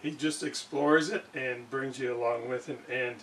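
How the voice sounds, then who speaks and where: A man giving a casual hands-on demonstration, speaking haltingly as he thinks aloud in an indoor workshop. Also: mid-range voice, steady tone, a bit bright. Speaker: radio restorer, video host. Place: indoor home workshop at a desk. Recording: distant mic with background noise.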